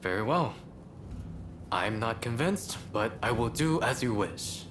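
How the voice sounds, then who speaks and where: An actor playing an earnest, cautious samurai scholar in a video game, speaking formally and articulately. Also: male voice, medium pitch, animated, unhurried, noisy background, close to an everyday voice. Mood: wary, reluctant. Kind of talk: video game cutscene acting. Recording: background music under the voice.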